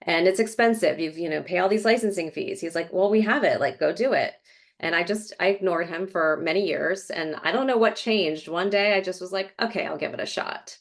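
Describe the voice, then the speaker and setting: A woman's voice: fairly low pitch, a little fast. A middle-aged woman telling her story in a casual interview, chatty, with lots of fillers. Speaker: real estate agent, guest. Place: Florida.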